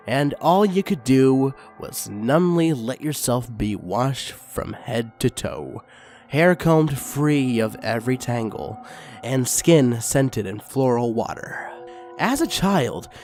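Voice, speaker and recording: A man reading a fanfiction story aloud as channel host, excitable and crude in his asides. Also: medium pitch. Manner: excitable and crude in the intro, then steady reading.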